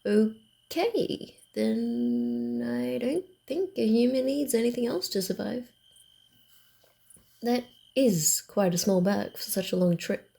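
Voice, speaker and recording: A woman voicing a wary, dryly teasing vampire in a soft ASMR roleplay, often dropping to a whisper, with a grumbling, hesitant manner. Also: on the high side, sing-song, takes it slowly, gravelly, pitched above the performer's own voice, heavily acted. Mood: guarded, bossy.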